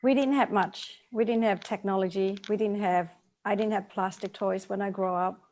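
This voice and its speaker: A woman's voice: mid-range voice, steady tone, normal speed, darkish tone. A woman in her forties from Vietnam, a guest author in a live video call interview, speaking earnestly, repeating key words and laughing lightly.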